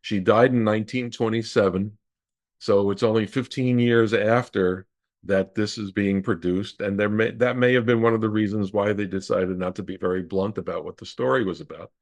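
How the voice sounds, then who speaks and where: Low-voiced man explaining in conversation. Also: steady tone, normal speed. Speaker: interview guest, radio historian.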